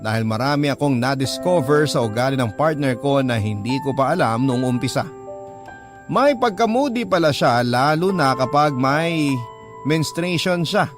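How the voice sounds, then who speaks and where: A man playing a young letter sender, narrating his love story in a sincere, measured, scripted radio-drama style. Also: medium pitch, expressive, lightly acted. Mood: reflective. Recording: prominent music bed under narration.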